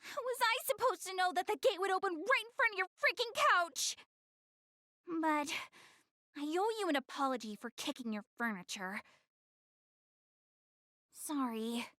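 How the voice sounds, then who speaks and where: An actress voicing a proud, easily flustered young anime goddess in a video game, haughty yet earnest in manner. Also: female voice, extremely high pitch, animated, a little slow, long pauses, sharp tone, no room sound, clean studio sound, heavily acted. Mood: flustered, indignant. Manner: haughty, stammers when flustered, explains things earnestly. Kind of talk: English anime game voice acting.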